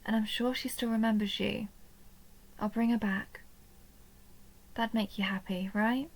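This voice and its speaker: Woman playing a creaky, breathy, tender vampire countess. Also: mid-range voice, steady tone, a little slow, low-quality recording. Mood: soothing, affectionate. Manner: soft, breathy, often near a whisper, coaxing. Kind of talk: intimate roleplay audio drama, addressed to the listener.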